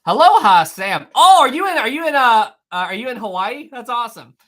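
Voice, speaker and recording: A man hosting a casual live stream, answering viewer messages in an animated, rambling way with frequent fillers, restarts and laughs. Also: very high-pitched, brisk. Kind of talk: casual live-stream chat reading super chats.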